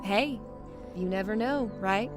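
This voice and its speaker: A woman's voice: medium pitch, takes it slowly, music in the background, close to an everyday voice. A woman playing a friendly, helpful settler in an audio drama. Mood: encouraging.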